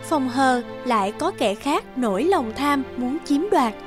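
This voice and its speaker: A woman's voice: high-pitched, animated, a little slow. A woman narrating a scripted fairy tale for a cartoon. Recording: music under the voice.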